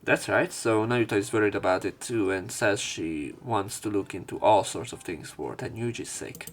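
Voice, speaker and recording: A man's voice: fairly low pitch, moderate pace. A man reading a visual novel aloud as a let's player, mixing narration with casual, crude commentary. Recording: background game music. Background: game music.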